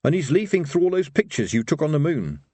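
A man's voice: fairly high pitch, very animated, fast, dark tone, breathy edge, no room sound, voice pushed up. A man voicing a sardonic, nervy reluctant adventurer in an audiobook, with a dry, exasperated manner. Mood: dry, uneasy. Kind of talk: audiobook character voice.

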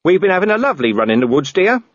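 Guy voicing a gruff watch boss, clipped and dry, kinda husky. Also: high-pitched, very animated, dry recording, lo-fi sound, pitched above the performer's own voice. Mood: urgent. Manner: brisk, clipped orders. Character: gruff middle-aged city watch commander, dry humor.

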